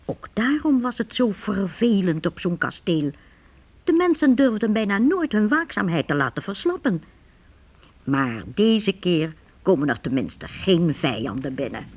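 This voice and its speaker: A woman's voice: medium pitch, sing-song, a little slow. A woman narrating a medieval radio drama as a wry storyteller, explaining things to the listener. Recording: dull old radio recording.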